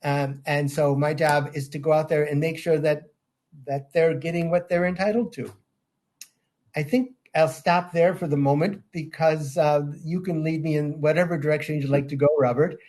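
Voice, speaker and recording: Older guy on a call, fairly high voice for a man, lots of ums, measured pace. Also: steady tone, a little mellow. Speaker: guest, safeguards auditor. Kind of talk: interview answers.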